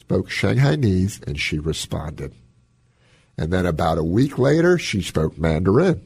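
A man with a low, rough-edged husky voice co-hosts health radio. His pitch swings widely as he tells folksy anecdotes, and the studio sound is close and dry. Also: very animated, unhurried, a little mellow, no room sound. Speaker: radio health show co-host. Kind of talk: casual talk and anecdotes.

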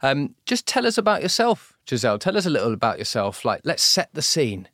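A man's voice: medium pitch, very animated, brisk, fairly bright tone, no echo. Man hosting with dry sound. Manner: enthusiastic, rambling questions with fillers. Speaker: podcast co-host. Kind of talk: conversational podcast hosting.

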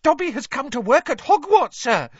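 A narrator voicing a small, eager, servile male house elf in an excited, shrill squeak for a storybook. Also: moderate pace, no room sound, muffled recording. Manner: excited, eager, speaks of itself in third person.

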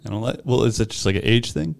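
A young man in casual podcast chat, teasing his co-host and pressing him with quick follow-up questions. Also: mid-range voice, gravelly.

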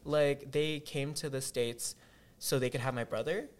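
Young Indonesian-born man, native Indonesian speaker, loose filler-heavy podcast storyteller, mid pitch. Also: steady tone, a little slow. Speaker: podcast guest. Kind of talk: casual chat.

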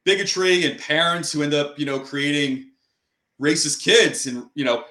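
A man's voice: fairly high pitch, normal speed, bright, forceful tone. A man hosting an interview, talking in long, rambling turns full of fillers. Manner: rambling, with many "you know" fillers. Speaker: host. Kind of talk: interview conversation. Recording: roomy sound.

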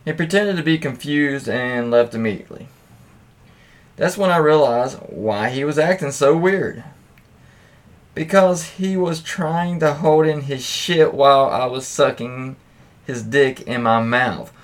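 Slow-paced man, casual and profane storyteller. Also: mid-range voice. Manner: informal, profane, reacts with interjections. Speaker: podcast host. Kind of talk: casual read-aloud of anecdotes with offhand commentary.

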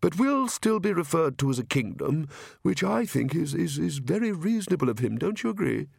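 A man's voice: fairly high pitch, sing-song, brisk, creaky, breathy edge, dry recording, clean studio sound, pitched above the performer's own voice, exaggerated voice. A man voicing a timid, harassed king, stammering and eager to please, in an audiobook. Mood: anxious.

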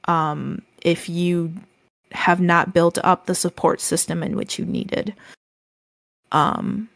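Slow, gravelly-voiced woman podcast host. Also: fairly low pitch, level tone, no echo, studio quality. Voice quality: soothing voice. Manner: slow, halting, many ums and restarts; gentle, reassuring advice. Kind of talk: casual podcast chat.

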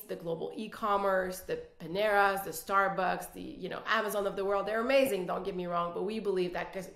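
A woman's voice: medium pitch, creaky, a bit bright. A woman from City, a guest speaking earnestly in a podcast interview, with many restarts. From Quebec City, Canada. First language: French. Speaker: guest executive. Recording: roomy echo.

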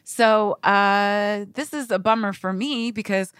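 A woman's voice: mid-range voice, takes it slowly, fairly bright tone, clear, resonant tone, no echo. A woman co-hosting a casual conversational podcast, speaking candidly in colloquial, self-deprecating language. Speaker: podcast co-host.

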